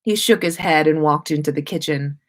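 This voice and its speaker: A woman reading a story aloud as expressive narration, like a host at story time. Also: sits low, moderate pace. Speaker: host reading listeners' stories on a livestream.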